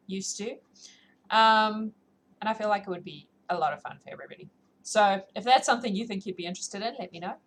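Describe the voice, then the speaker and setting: A woman hosting a livestream, chatting casually and informally to her viewers in a rambling, self-deprecating way. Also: mid-range voice. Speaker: livestream host who reads books aloud. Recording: clearly noisy livestream audio.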